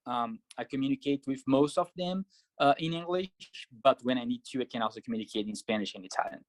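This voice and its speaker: A man from Brazil, speaking as a guest on an interview show. He is a triathlete and coach who talks in a measured, thoughtful way with frequent fillers. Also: medium pitch, expressive, no room sound. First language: Portuguese. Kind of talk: casual interview answers.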